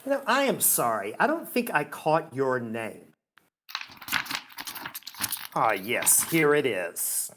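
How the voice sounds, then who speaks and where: An actor playing a pompous, condescending male theatre critic in a radio play, haughty and sneering. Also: a little high. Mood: coldly menacing. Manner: haughty, condescending, slow and deliberate insults.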